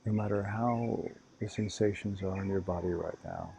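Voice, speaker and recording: A man leading a guided meditation as a teacher, calm and unhurried, giving gentle instructions. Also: low voice, creaky, slight background noise.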